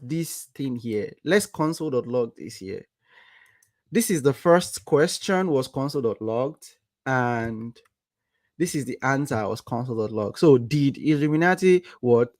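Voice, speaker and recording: Nigerian man teaching code, slow and questioning. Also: on the high side, dry recording, studio quality. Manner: asks rhetorical "what?" questions. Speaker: coding livestream instructor. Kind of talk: live coding tutorial with chat.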